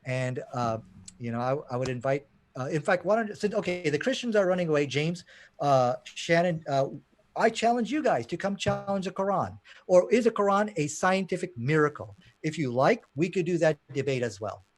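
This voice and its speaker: Man, from Pakistan, slightly high pitch, debater, emphatic, challenging. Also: animated, moderate pace. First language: not Arabic; Arabic learned as second language. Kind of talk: impassioned debate argument.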